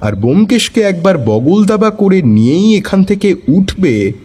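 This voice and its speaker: A man's voice: high voice, big pitch swings, measured pace, mellow, husky, heavily acted. A man narrating a detective story in the first person, with a wry, dryly humorous manner. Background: faint background music.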